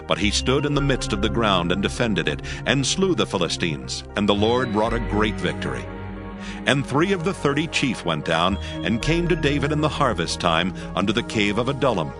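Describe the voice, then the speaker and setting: A man with a fairly low voice narrates scripture from a script. His delivery is formal and measured, like a storyteller in an audio drama, and music plays prominently behind him.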